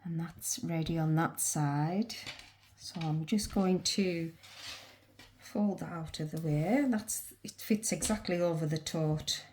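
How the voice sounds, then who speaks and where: Woman host, northern English, low mellow voice, stuffy cold, unhurried chatty crochet walkthrough. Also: slight background noise. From England. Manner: chatty, talks to herself while working. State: congested with a cold, sniffly. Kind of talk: casual crochet demonstration.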